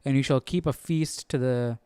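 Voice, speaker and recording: A man with a medium-pitched, clear and smooth voice talks casually as a livestream host. He muses aloud and circles back over his own words, recorded dry with no room sound. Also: normal speed, dark tone, no room sound. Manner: informal, musing, repeats himself. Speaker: host of a Bible study livestream. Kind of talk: casual chat, thinking aloud.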